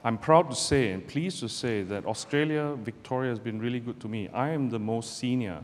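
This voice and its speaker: A middle-aged man from Malaysia, a barrister giving a measured, deliberate public talk to students from a podium in a lecture hall. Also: mid-range voice. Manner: measured, deliberate delivery with pauses. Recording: roomy hall with long reverb, faint background noise.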